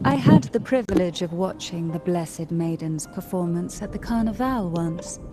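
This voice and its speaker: An actress voicing a poised, guarded noblewoman in a video game, with an elegant, courteous and deliberate delivery. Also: on the low side, expressive, lightly acted. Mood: courteous, intrigued. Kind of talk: scripted video game voice acting. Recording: music under the voice.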